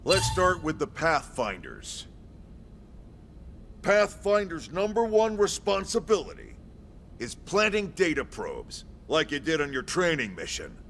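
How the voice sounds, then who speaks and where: An actor playing a gruff, brash middle-aged military commander in a video game, with an American accent, a gravelly voice and a blunt, folksy, salty way of talking. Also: male voice, a little high, huge pitch swings, a little slow, background noise, full character voice. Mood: briskly explaining. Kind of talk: scripted video game cutscene acting.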